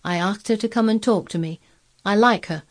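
A wilful, sickly boy of ten from a storybook, acted out by a woman reader. The voice is lifted high and comes out forcefully, peremptory and commanding, as if used to being obeyed. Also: normal speed, lightly acted. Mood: imperious. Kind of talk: storybook character voice.

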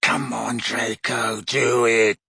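A man's voice: mid-range voice, level tone, takes it slowly, creaky, pushed and bright, breathy edge, dry recording, clean studio sound, exaggerated voice. A man voicing a mocking, volatile male henchman with a taunting, sneering delivery.

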